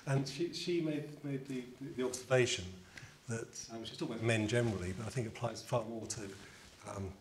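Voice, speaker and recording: A man's voice: medium pitch, fairly quick. A middle-aged man reflects aloud as a panelist, his voice caught on a lapel mic. A faint hiss sits under his speech. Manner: reflective, uses metaphor. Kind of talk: panel discussion. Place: university event hall with audience.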